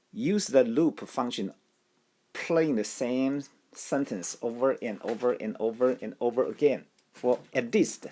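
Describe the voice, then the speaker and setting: Slow, deliberate man teaching language. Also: mid-range voice, darkish tone. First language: Taiwanese. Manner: deliberate, repeats phrases, frequent restarts. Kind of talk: slow instructional talk.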